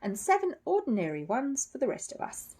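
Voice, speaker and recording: A woman voicing a kindly, generous older gentleman in a children's storybook, gentle and tactful. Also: high-pitched, huge pitch swings, fairly quick, husky, voice pushed up, heavily acted.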